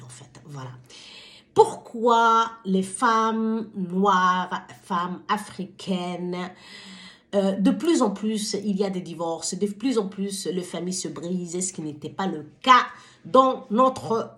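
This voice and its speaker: A woman's voice: fairly low pitch, takes it slowly, fairly bright tone. A woman from Somalia hosting her own wellbeing vlog, speaking to viewers in a hesitant but impassioned, exhorting way. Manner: hesitant, stumbling over words. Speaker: channel host.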